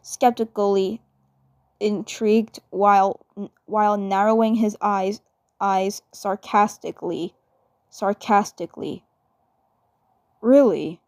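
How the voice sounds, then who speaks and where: A woman narrating fan fiction haltingly, often repeating words as she goes. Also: mid-range voice, fairly even intonation, clear, resonant tone, dry recording, clean studio sound.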